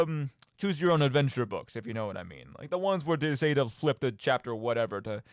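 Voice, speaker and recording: A man's voice: mid-range voice, no echo, studio quality. A man hosting a radio show, giving casual solo commentary on games in an emphatic, rambling way, often interrupting himself.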